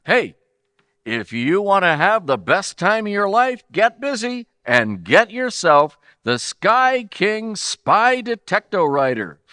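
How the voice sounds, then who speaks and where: A man's voice: high voice, bright, forceful tone, no room sound, clean studio sound. An American man announcing in 1940s radio style, with punchy, emphatic salesmanship.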